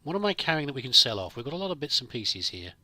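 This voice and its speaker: A man's voice: mid-range voice, sing-song, very fast, pushed and bright, no room sound. A man giving casual, improvised roleplay commentary over a video game, musing and thinking aloud as he plays. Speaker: gamer, let's play host.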